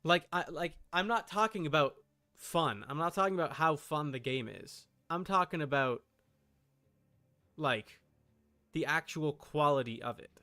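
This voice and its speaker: A man with a slightly high, bright and forceful voice talks as a game streamer. He chats casually while playing, arguing his opinions with emphasis. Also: unhurried.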